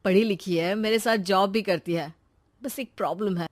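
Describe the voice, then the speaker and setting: A woman's voice: mid-range voice, normal speed, voice pushed down, close to an everyday voice. A woman voicing a trusting, lovestruck young brother in a cartoon story, confiding hesitantly and earnestly. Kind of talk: cartoon moral story voice acting.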